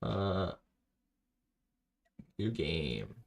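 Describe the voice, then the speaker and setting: A man with a deep, gravelly voice streams a game, drifting through low-key, rambling self-talk. He speaks slowly and reads viewers' messages aloud between moves. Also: sing-song. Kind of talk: casual live-stream commentary while playing.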